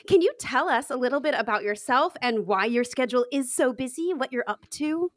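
A woman's voice: sits high, lively intonation, fairly quick, no room sound. Enthusiastic woman host, scripted intro, dry and close. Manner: enthusiastic, effusive. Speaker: podcast host. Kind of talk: scripted introduction, then casual interview.

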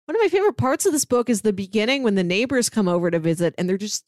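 A woman with a mid-pitched voice and very varied intonation, recorded dry with no room sound. She chats as a podcast co-host, engaged and playful in an easy conversational style. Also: expressive, very fast, darkish tone, no room sound. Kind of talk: casual comic book discussion.

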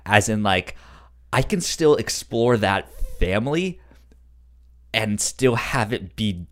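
Halting, stuttering man in casual podcast talk. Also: fairly low pitch, animated, fairly bright tone. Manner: halting, stuttering repetitions of syllables. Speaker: podcast host. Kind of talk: casual chat about a cartoon series.

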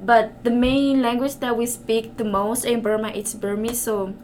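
Halting young woman from Thailand. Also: on the high side, fairly even intonation, darkish tone, a little hiss or hum. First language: Karen. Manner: halting, searching for words, many "like" fillers. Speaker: interview guest. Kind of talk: casual interview answers.

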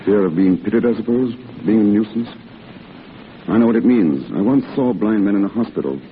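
An actor playing a blinded war veteran, proud and resigned, with measured, polite delivery in a 1940s radio drama. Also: male voice, on the low side, close to an everyday voice. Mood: resigned, guarded. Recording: tinny, hissy old radio broadcast.

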